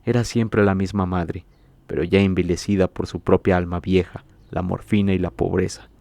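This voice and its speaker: A man narrating a literary story in a measured, scripted audiobook reading. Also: deep voice, flat delivery, vocal fry, a little mellow, no room sound.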